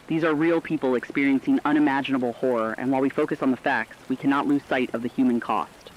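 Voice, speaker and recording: Man acting as a radio drama co-anchor, earnest, compassionate, solemn, medium-high pitch, muffled lo-fi sound with faint noise. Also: fairly even intonation, fast, close to an everyday voice. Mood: solemn, sorrowful. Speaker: news co-anchor. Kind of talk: scripted breaking radio news commentary.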